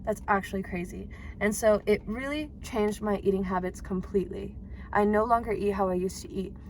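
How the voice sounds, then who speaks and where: A woman in her twenties talking casually to her viewers, chatty and quick to laugh. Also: mid-range voice, slight background noise. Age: 20s. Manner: chatty, laughs often, animated. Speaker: vlogger. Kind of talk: casual talk to camera.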